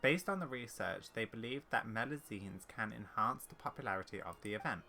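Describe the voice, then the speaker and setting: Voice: mid-range voice, expressive, vocal fry, pushed and bright. A gaming streamer giving casual playthrough commentary and reading game text aloud. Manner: halting when reading aloud. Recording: faint background noise.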